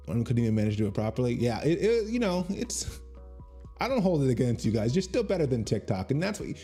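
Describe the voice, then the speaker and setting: A man's voice: mid-range voice, warm tone, husky. Rambling, joking man in his thirties streaming. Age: 30s. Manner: rambling, self-deprecating and joking, reacting to chat. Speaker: comedian streaming on Twitch. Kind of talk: casual livestream chat with viewers. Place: small room at home. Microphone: studio mic on boom arm.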